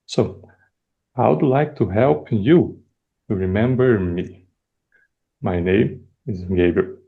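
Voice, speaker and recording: A young man from Brazil with a Brazilian Portuguese accent, speaking English as a second language, giving a prepared icebreaker speech with measured pauses. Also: on the low side, gravelly, narrow, tinny audio. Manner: measured, deliberate delivery with pauses. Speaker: first-time club speaker.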